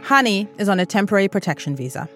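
A woman who grew up in East Africa, hosting and narrating a documentary podcast in a measured, earnest way. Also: medium pitch, sing-song, loud music behind the voice.

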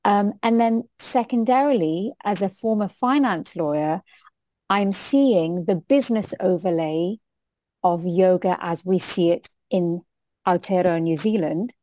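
A woman with a British English accent whose first language is Hindi, speaking as an interviewee in a measured, slightly halting way. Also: fairly low pitch, mellow, no echo. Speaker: interviewee, diversity consultant. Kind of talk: reflective interview talk.

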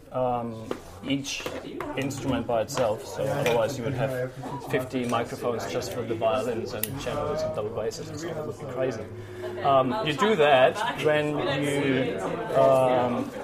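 A man, a sound engineer, explains his work informally and haltingly, thinking aloud as he goes. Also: medium pitch. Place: large town hall concert venue. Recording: loud orchestra practice behind the voice. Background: orchestra musicians practising.

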